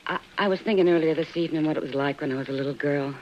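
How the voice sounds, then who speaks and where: A woman acting a wistful, warm-hearted frontier saloon woman in a 1950s radio western, gentle and hesitant, with an American accent. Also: deep voice, rapid-fire, natural voice. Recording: muffled old radio recording, some hiss.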